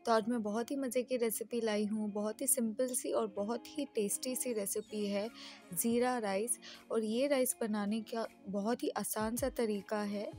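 A woman's voice: fairly high pitch, dark tone. A woman from Pakistan with a Pakistani Urdu accent, chatting through a home cooking recipe as host of her channel. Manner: chatty, friendly, repeats tips. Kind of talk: casual step-by-step cooking voice-over.

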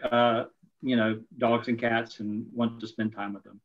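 An older man introducing himself on a video call, halting and full of fillers. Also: mid-range voice, flat delivery. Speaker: volunteer. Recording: dull lo-fi line with faint noise.

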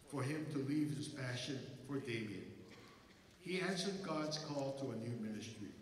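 An older man with a Hawaii accent, reading a formal commencement address as school president from a lectern, in measured phrases. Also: mid-range voice, distant mic, a little hiss or hum. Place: large concert hall. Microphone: lectern mic. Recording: echoey hall, voice from afar.

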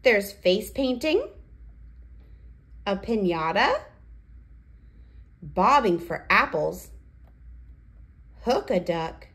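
Slow, lilting woman reading to toddlers. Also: mid-range voice, sing-song, a bit bright. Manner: sing-song, simple, deliberate phrasing with questions. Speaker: children's read-aloud host. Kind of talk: read-aloud for toddlers.

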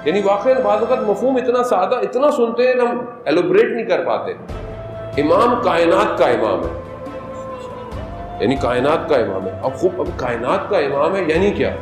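A man giving a majlis sermon as a Shia cleric, moving from conversational preaching into impassioned, high-pitched appeals. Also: expressive, normal speed. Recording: echoey hall with music underneath.

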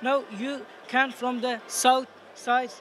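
A teenage male student with an Afghan accent explaining his project in an interview in halting non-native English. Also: very high voice, level tone, a bit bright. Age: teens. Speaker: student competition participant. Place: busy exhibition booth indoors. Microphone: handheld interviewer microphone. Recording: noisy event hall background.

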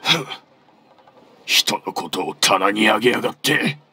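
An actor playing a shifty, defensive and gruff merchant in a Japanese video game, easily flustered and prone to stammering. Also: male voice, lowish voice, lively intonation, unhurried, sharp tone, full character voice. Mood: furious. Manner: stammering when cornered, gruff.

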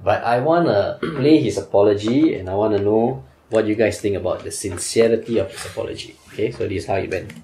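Low-voiced Singaporean man hosting. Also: brisk, dark tone, echoey room, a little hiss or hum. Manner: leads the talk, asks for reactions. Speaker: podcast host. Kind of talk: casual chat. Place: small indoor studio with couches.

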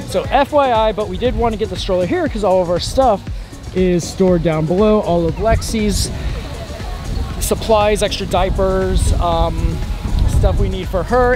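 Young guy, higher pitched voice, rambly casual vlog talk outside, music behind him. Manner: informal, rambling. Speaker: travel vlogger. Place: outdoors at a beach and island park. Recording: outdoor vlog with background music.

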